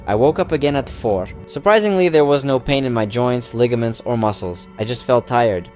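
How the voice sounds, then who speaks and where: A man narrating his own running challenge as a reflective voice-over, in a steady and earnest way, recounting events step by step. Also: medium pitch, moderate pace. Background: music.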